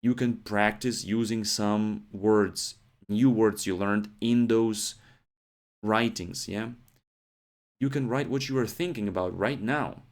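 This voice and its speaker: Man teaching English, lowish voice. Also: takes it slowly. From Ukraine. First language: Ukrainian. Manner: explains steadily, often adds "yeah?" and "right?" checks. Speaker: English teacher, podcast host. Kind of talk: podcast lesson monologue.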